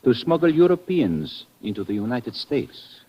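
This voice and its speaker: Husky iberian cop, courteous but watchful, formal, muffled old radio drama. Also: male voice, mid-range voice, very animated. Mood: formal, suspicious. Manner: formal, measured, polite. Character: courteous, watchful Portuguese police lieutenant. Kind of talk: 1950s radio drama acting. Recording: dull old radio recording.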